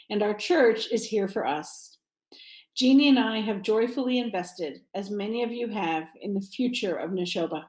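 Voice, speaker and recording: A woman's voice: mid-range voice, darkish tone, reverberant, rough recording. A woman delivering a prepared announcement to a congregation as a church campaign speaker.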